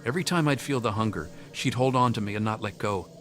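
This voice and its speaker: A man's voice: fairly low pitch, fast, a bit bright, natural voice. An actor playing a troubled, earnest young man haunted by hunger, confiding hesitantly as video game dialogue. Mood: troubled, reflective. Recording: music behind the voice.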